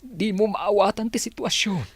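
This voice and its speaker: A man's voice: high voice, moderate pace, slightly rough, dry recording. An actor with a northern Philippine accent playing a heartbroken, reproachful young man in an emotional, pleading radio melodrama style. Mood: anguished, pleading.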